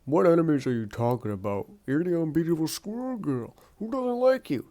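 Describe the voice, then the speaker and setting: A man's voice: on the high side, sing-song, brisk, warm tone. A man voicing a small, sassy female squirrel sidekick in a comic dub, skeptical and nagging. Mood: doubtful. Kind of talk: comic book dub voice acting.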